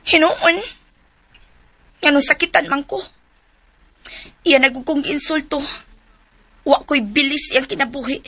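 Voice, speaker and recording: A woman's voice: sits high, measured pace, pauses often, pushed and bright. An actress playing a jealous, demanding woman in a melodramatic Philippine radio drama, with a Cebuano Filipino accent. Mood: bitter, scheming.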